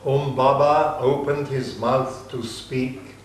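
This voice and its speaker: A man giving a solemn, deliberate narration in a dramatic reading of an ancient epic. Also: mid-range voice, fairly even intonation. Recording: very echoey room with faint noise.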